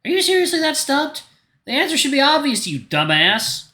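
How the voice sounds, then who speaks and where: A man's voice: high voice, huge pitch swings, measured pace, sharp tone, roomy sound, voice pushed up, full character voice. A man voicing a pouting, jealous teenage little sister in an audio drama, prickly and scornful. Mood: exasperated, snide. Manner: scornful, sulky.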